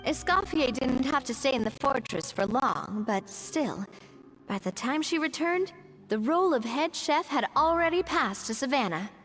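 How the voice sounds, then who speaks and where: A woman voicing a thoughtful, helpful young woman in a game, explaining things in a measured way. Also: high voice, animated, a bit bright, soft background music. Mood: explanatory. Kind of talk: game dialogue acting.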